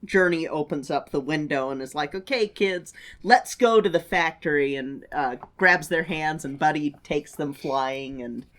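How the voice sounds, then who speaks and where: A woman co-hosting a podcast, chatting casually about a film in a halting, thinking-aloud way. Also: deep voice, fairly bright tone. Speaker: podcast co-host.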